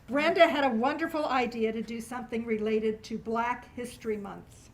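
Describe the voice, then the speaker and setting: Higher-voiced woman hosting an event. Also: fairly bright tone. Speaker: event host introducing a performance. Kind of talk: live spoken introduction to an audience. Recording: live room with faint background noise.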